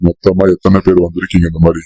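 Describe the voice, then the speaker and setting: A man voicing a stern, exasperated adult in a comedy cartoon, gruff and scolding. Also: low-pitched, level tone, quick pace, dark tone, no room sound, muffled recording, faint music behind. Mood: suspicious.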